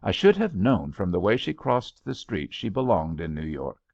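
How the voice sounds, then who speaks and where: A man playing a self-assured young male architect in an audiobook, brisk and whimsically pompous. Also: low voice, big pitch swings, creaky, darkish tone, no room sound, lo-fi sound, exaggerated voice.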